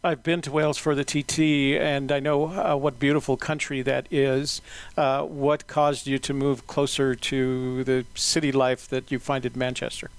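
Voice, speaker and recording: Older guy interviewing someone, easygoing, lots of uhs, middle pitch. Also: clean tone. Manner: relaxed, many "uh" fillers, measured pace. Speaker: interviewer and podcast host. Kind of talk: casual interview.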